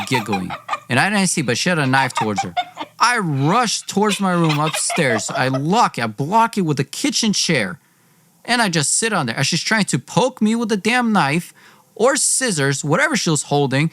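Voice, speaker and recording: A man's voice: on the high side, a bit bright, no room sound, studio quality. A young man telling a dramatic personal story as a podcast host, theatrical and slangy in his delivery.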